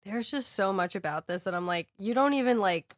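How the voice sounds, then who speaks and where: A woman's voice: medium pitch, lively intonation, brisk, no room sound. Woman, 30s, podcast co-host, blunt and profane, dry close sound. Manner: blunt, profane, matter-of-fact. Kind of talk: casual reality-TV recap chat.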